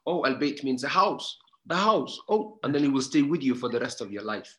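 Earnest male guest, talks fast, gives advice through anecdotes. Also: mid-range voice, very animated, sharp tone. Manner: earnest, advice-giving, repeats words for emphasis; tells anecdotes with many quoted lines. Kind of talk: casual conversation.

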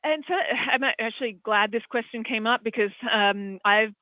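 An Australian man with a high voice speaks over a tinny, phone-like line. The sound is dry and close. He answers questions as a horse vet, wandering through his points with plenty of hesitant fillers. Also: normal speed, no room sound. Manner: rambling, hesitant, many fillers. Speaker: equine veterinarian. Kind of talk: expert answering audience questions.

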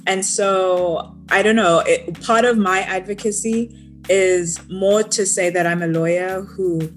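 A young woman answers interview questions in a low, steady voice, articulate and sure of her views. Soft music sits faintly under her talk. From South Africa. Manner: articulate, assured, quick to explain. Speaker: podcast guest, lawyer. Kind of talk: casual interview chat.

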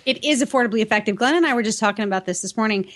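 A woman's voice: a little high, racing. An American woman co-hosting a podcast in a casual, chatty and playful way. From New England, United States. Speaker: podcast co-host. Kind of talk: casual co-host banter and chat.

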